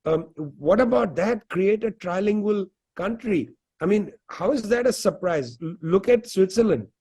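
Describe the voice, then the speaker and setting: A man's voice: high voice, animated, normal speed, mellow, slightly rough. A man from India, speaking as an interview guest in earnest, conversational commentary full of rhetorical questions and "you know" fillers.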